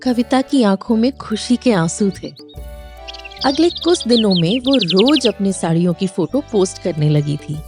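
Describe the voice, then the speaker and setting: A woman's voice: medium pitch, lively intonation, a little slow, over music. A woman narrating a scripted moral story for children.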